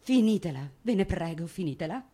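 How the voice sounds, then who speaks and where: A woman's voice: lowish voice, animated, brisk, mellow, husky. An actress playing a prim, mature lady in a theatre scene, affronted and protesting at a suitor. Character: prim, indignant mature lady being courted.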